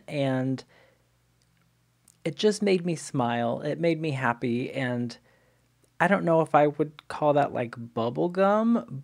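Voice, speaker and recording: A man's voice: a little high, vocal fry, a little mellow. A young man with a stuffy nose, hosting a music video reaction and chatting enthusiastically into a studio mic at home. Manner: enthusiastic, chatty, frequent "wow, okay". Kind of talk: casual reaction commentary. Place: home studio room with brick-pattern backdrop. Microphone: studio mic on stand with headphones.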